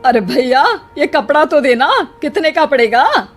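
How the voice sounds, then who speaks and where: Woman voicing a chatty cartoon mother-in-law, high voice. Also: big pitch swings, unhurried, faint music behind. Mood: bargaining. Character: chatty, fussy middle-aged mother-in-law. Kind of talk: animated moral-story cartoon dialogue.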